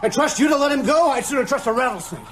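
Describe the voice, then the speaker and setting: Desperate, high-pitched priest. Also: male voice, lively intonation, fast talker, a crowd in the background. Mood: desperate defiance. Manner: strained, urgent, commanding cries. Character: desperate, frightened male priest of wavering faith. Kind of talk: radio horror drama acting.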